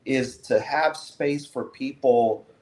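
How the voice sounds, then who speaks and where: A male podcast host speaks slowly and deliberately in a measured, thoughtful way. His voice carries a roomy echo. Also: mid-range voice, a little mellow. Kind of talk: casual interview talk.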